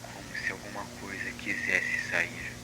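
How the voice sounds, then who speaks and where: An actor playing a suffering, frightened victim in pain with a breathy whisper, in horror tape style. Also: male voice, low-pitched, little pitch movement, measured pace. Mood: pained dread. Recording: noisy, degraded horror tape.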